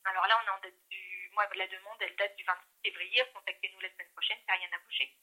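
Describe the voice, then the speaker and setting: A woman's voice: mid-range voice, flat delivery, quick pace, pushed and bright. A woman working as a call centre agent, explaining things hesitantly in a customer service call over the telephone. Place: phone call, recorded from the line. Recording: telephone line.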